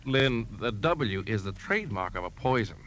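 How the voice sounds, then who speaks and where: An actor in a 1950s radio drama playing a grave, troubled man, with brooding first-person narration and measured, earnest dialogue. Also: lowish voice, animated, a bit bright, slight background noise. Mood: grave, pressing. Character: grave, troubled man narrating his plan to trap a poisoner. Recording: 1950s radio broadcast with music behind.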